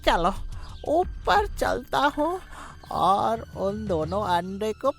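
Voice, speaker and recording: Slow, gloating sly fox villain, acted by a woman. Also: medium pitch, big pitch swings, gravelly, soft background music, heavily acted. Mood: greedy glee. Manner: gloating, drawn-out, theatrical, often cackling. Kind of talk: cartoon moral story voice acting.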